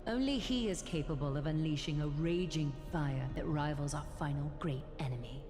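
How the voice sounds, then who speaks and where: A woman performs a regal, weary leader of great age in a video game. Her low voice is solemn, formal and composed, carrying resolve. Music and a long reverb surround it. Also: normal speed, close to an everyday voice. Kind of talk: scripted game voice acting.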